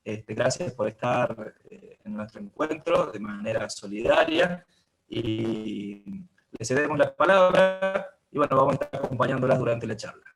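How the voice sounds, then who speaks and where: A man with an Argentine accent and a creaky, gravelly voice hosts an online gathering. He speaks at a middling pitch in an informal, welcoming introduction. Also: lively intonation, normal speed, soft background music, rough recording. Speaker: host introducing guests.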